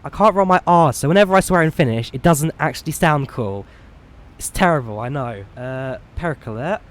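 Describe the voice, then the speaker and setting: A man's voice: fairly high pitch, lively intonation, normal speed. A man giving casual live stream commentary while flying a simulator, chatty and self-deprecating.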